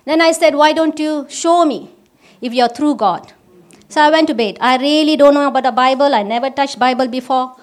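A woman from Malaysia, giving a personal testimony at a church service in halting English with restarts. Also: sits high. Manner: halting, with restarts and simple non-native grammar.

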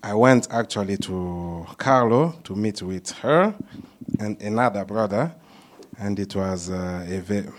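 A man leading part of a church service, speaking informally with frequent "you know" and restarts as he introduces a speaker. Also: lowish voice.

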